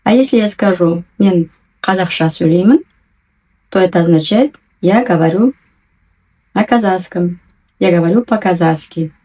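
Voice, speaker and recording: A woman teaching a language lesson, patiently and clearly enunciating, repeating each new word twice. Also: on the low side, moderate pace, pauses often, dark tone. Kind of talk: slow, careful language lesson.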